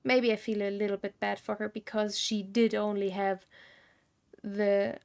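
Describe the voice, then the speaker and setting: A woman's voice: mid-range voice, flat delivery, a little slow, gravelly, darkish tone, clear, resonant tone. A woman game streamer giving casual live commentary, musing and thinking aloud.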